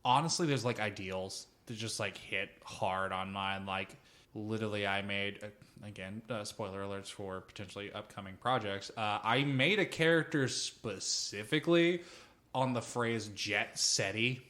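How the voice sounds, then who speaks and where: A man's voice: lowish voice, normal speed. Rambling, sweary man in casual chat. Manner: rambling, profane, animated storytelling. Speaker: podcast co-host. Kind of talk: casual chat about tabletop role-playing.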